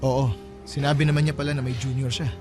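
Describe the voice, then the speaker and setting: A man's voice: medium pitch, little pitch movement, quick pace, music in the background, lightly acted. A man playing a polite but flustered peacemaking eldest son in his late twenties in a Filipino radio drama. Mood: calming, polite.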